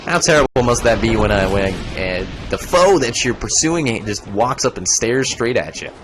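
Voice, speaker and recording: A man's voice: mid-range voice, lively intonation, normal speed, noisy background. A young man streaming a video game, chatting casually, rambling and joking as he plays. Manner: rambling, joking, breaks into goofy voices. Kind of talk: casual gaming commentary.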